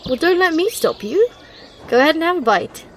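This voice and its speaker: A woman's voice: extremely high pitch, big pitch swings, brisk, a little hiss or hum, pitched above the performer's own voice. A woman playing a teasing, grudgingly fond thief with a dry, mock-impatient manner in an intimate ASMR roleplay. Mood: teasing, indulgent.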